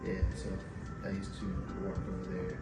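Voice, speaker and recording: A man's voice: deep voice, monotone, moderate pace. A man from Ghana, talking casually and conversationally as a guest on a show. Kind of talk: casual conversational life story. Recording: echoey, distant mic, loud music behind.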